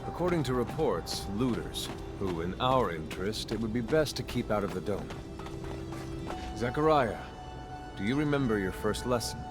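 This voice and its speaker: A man plays a grave old-school master in a sci-fi game, his voice fairly low. He speaks formally and measuredly, a weary, protective teacher, with music clearly behind him. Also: animated, moderate pace, lightly acted. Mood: stern guidance. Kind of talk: video game voice acting.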